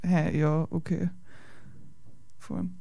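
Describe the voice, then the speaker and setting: An Austrian woman with a soft Austrian German accent speaks in an easygoing, agreeable way, often repeating words mid-thought. Her voice sits mellow and dark, captured dry and close with no room sound. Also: on the low side, flat delivery, very slow, vocal fry, no room sound. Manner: conversational, agreeable, repeats words as she searches. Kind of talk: casual conversation.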